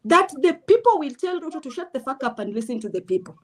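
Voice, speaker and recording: A woman's voice: high-pitched, big pitch swings, quick pace. A woman from Kenya, giving blunt, emphatic political opinions as an interview guest.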